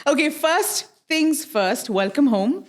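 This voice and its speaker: A woman hosting a podcast with a fairly high voice. She introduces her guests and steers the chat with upbeat energy. Her delivery has a presenter's enthusiasm. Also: expressive, slow pace. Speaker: podcast host.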